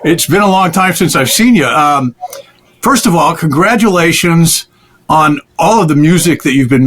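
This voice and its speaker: A man hosting a casual interview, asking questions in a halting, conversational way. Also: a little high, fairly bright tone. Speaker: interviewer, show host.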